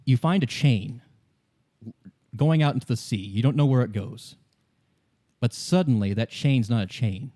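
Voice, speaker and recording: A man narrating a tabletop game in the second person as game master, improvising in a halting way and repeating phrases. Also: mid-range voice, mellow.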